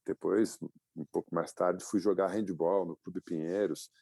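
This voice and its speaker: A man in his fifties from Brazil with a very low, gravelly voice and a dark, mellow tone. He recounts his story in a reflective way, pausing on fillers and restarting his sentences. The recording is dry and close. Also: steady tone, no room sound. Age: 50s. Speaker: guest, publisher and adventurer. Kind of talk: casual interview storytelling.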